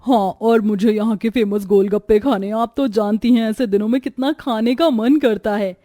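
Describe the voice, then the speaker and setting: A woman's voice: a little high, moderate pace, mellow, no room sound, studio quality, close to an everyday voice. A woman voicing spoiled, snobbish young married daughters in a cartoon story, with an entitled, demanding manner. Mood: gleeful. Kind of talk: acted Hindi cartoon story dialogue.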